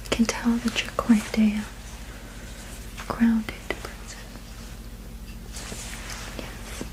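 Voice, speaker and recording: A woman whispers in a soft, breathy voice, pitched slightly high but kept even and gentle. She sounds like a soothing sleep therapist in an ASMR roleplay, reassuring and unhurried. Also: poor audio. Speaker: ASMR performer playing a witch sleep therapist.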